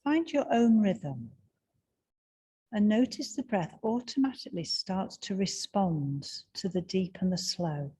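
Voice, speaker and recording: A woman guiding a breathing meditation in a slow, soft and soothing way, with long pauses. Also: medium pitch, animated, breathy edge. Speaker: meditation guide.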